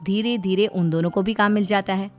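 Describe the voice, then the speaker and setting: A woman's voice: medium pitch, fairly even intonation, brisk. Woman storyteller narrating a scripted moral tale, faint music behind her.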